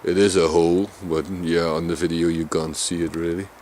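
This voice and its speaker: A man from Limburg with a Dutch accent and a deep voice, chatting casually to his camera outdoors while out on a bicycle trip, sometimes mumbling a little. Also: level tone, a little fast, darkish tone, slight background noise. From Limburg, Netherlands. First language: Dutch Limburg dialect. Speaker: vlogger. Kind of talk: casual outdoor vlog narration. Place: outdoors in flat open countryside.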